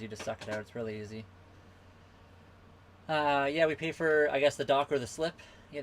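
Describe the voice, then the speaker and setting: A man's voice: medium pitch, a bit bright, slight background noise. Easygoing man chatting on a livestream. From western Canada. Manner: easygoing, rambling, reading out and answering chat comments. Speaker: livestream host answering viewer questions. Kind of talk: casual live Q&A chat. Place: on a sailboat at a marina.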